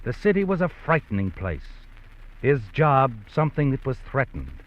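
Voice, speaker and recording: A man's voice: medium pitch, very animated, normal speed, natural voice. An actor playing a mysterious, ominous male narrator who walks by night, in a brooding 1950s radio drama delivery. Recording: dull old radio recording with music.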